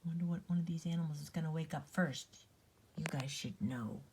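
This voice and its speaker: A woman's voice: low voice, a little fast, a little mellow. An older woman, a grandmother, reads a picture book aloud to young children in a playful sing-song way, adding chatty asides to the listeners. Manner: playful, sing-song listing with dramatic emphasis; talks directly to young listeners.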